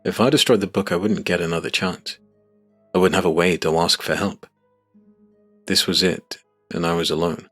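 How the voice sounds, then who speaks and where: Male narrator, low pitch, grave measured delivery, dramatic first-person storytelling, faint music underneath. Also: fairly even intonation, fairly bright tone.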